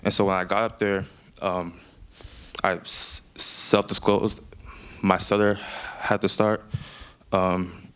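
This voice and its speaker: A man's voice: deep voice, level tone, slow, long pauses, fairly bright tone, smooth. A young man giving a prepared personal speech, speaking with an audible stutter, frequent repetitions and fillers. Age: young adult. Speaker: student speaker. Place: event speech.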